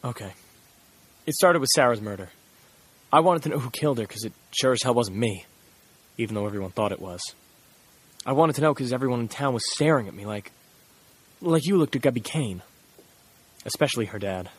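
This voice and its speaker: A man plays a young murder suspect in an audio drama. His voice is husky and mid-pitched. He sounds bitter and defensive, with a curt, guarded delivery. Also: expressive, a little fast, pauses often. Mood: pleading.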